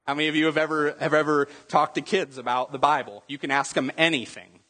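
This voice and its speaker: Husky-voiced male preacher in a reverberant hall. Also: on the high side, steady tone, fast, bright, forceful tone. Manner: conversational teaching, quizzing the congregation with rhetorical questions. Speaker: pastor. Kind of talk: sermon.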